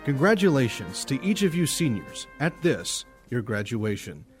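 Man acting male principal, radio drama, formal address, proud, lively intonation. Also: medium pitch, moderate pace, faint music behind, lightly acted. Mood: solemn pride. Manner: formal speech-making. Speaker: actor in an amateur radio drama.

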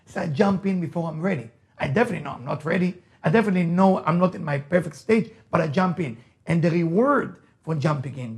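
A middle-aged man from Israel with an Israeli accent, a native Hebrew speaker, giving an emphatic, repetitive motivational talk. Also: fairly high pitch.

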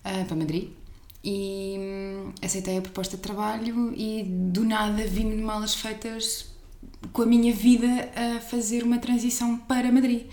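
A woman in her twenties from Portugal with a European Portuguese accent, chatting as a podcast guest and telling her story in an animated way, with restarts. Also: medium pitch, moderate pace, echoey room. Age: 20s. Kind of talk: casual conversation.

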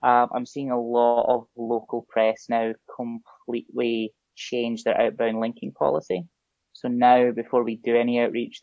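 A man's voice: mid-range voice, darkish tone, dry recording. A man with a Scottish accent, a guest chatting casually on a podcast with a lot of filler ums. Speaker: SEO guest. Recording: dull lo-fi podcast audio.

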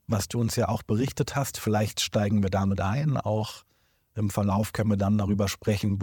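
A man hosting a podcast interview indoors, speaking in a measured, formal way as he introduces the guest. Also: on the low side, darkish tone, breathy edge, no echo, clean studio sound. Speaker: podcast host. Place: indoor room in Berlin.

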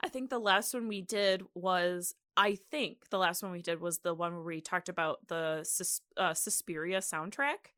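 A woman, probably in her thirties, hosting a podcast in a casual, chatty, animated and giggly way. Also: lowish voice, moderate pace, few pauses, no echo, clean studio sound. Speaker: podcast host.

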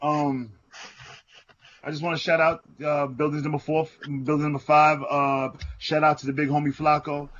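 A man co-hosting a podcast in casual chat, loose and self-mocking as he gives shout-outs. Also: a little high, flat delivery, moderate pace, lo-fi sound. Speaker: podcast co-host.

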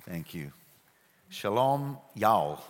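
A middle-aged man with a New Zealand accent, a guest speaker telling his story in a relaxed, joking way with many fillers. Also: fairly low pitch, drawn-out words, a little hiss or hum. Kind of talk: church talk. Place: church hall. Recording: reverberant church hall.

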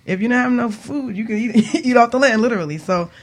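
A woman's voice: a little high, fast. A woman hosting a casual radio interview, talking in an informal, chatty way. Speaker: radio show host.

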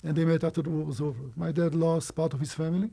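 A man in his sixties, born in Romania, telling his life story as an interview guest in a brisk, animated way. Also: a little high, vocal fry. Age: 60s. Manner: brisk, animated, quick asides and small laughs.

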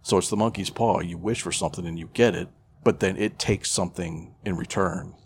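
Deep-voiced, foul-mouthed man rambling casually. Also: fairly quick, a little hiss or hum. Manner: profane, irreverent, rambling. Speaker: podcast co-host. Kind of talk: casual chat, retelling a film plot.

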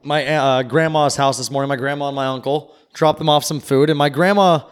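A man's voice: mid-range voice, steady tone, a little fast, clear, resonant tone. A man casually telling a long, rambling story on a podcast and swearing freely. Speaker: podcast co-host. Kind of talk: casual chat.

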